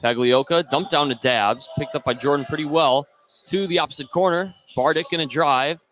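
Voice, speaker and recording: A man's voice: a little high, normal speed, a bit bright. A man calling live basketball play-by-play from beside the bleachers in a gym. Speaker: play-by-play announcer. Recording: clear gym noise behind voice. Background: gym ambience.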